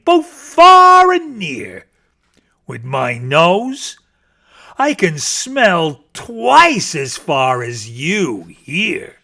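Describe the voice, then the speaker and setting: Big bragging storybook bear, played by a male reader: scornful, growly, forceful, with wide swoops in pitch. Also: creaky, full character voice. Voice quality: growling, snorting. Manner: bragging, emphatic, drawn-out words. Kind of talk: theatrical children's storybook reading.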